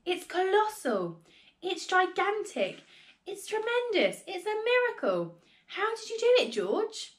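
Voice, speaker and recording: A woman voicing a small, excitable father on a farm in a children's storybook, exclaiming loudly and easily worked up. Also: high voice, normal speed, lightly acted. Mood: thrilled. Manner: exclaiming, shouting, breathlessly excited.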